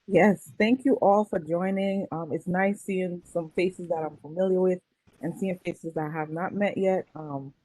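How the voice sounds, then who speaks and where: A woman in her thirties from Grenada, co-hosting a panel in a relaxed, friendly way with frequent fillers. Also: fairly low pitch, measured pace, no echo, poor audio. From Grenada, Caribbean. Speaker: co-host.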